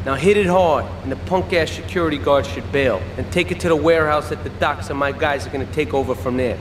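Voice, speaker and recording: A man's voice: fairly high pitch, quick pace, lightly acted. Man playing cocky young mobster in an echoing space. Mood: businesslike. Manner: slangy, bossy, familiar. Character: cocky young Italian-American mobster mechanic handing out jobs. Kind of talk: video game cutscene acting. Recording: echoing hall, street and vehicle noise.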